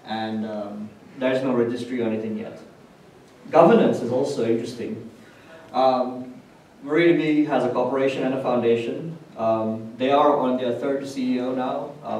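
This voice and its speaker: A man presents technical material to a conference room in an explanatory way. His voice sits at a middle pitch and is picked up from a distance, with very heavy room echo and faint noise behind him. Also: darkish tone. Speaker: conference speaker. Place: indoor hotel conference room with projector screen. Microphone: distant camera microphone.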